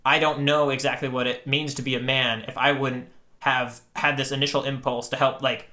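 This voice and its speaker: Man, bright forward tone, medium pitch, casual podcast talk, earnest, deliberate phrasing. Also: flat delivery. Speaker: podcast co-host. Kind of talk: casual chat.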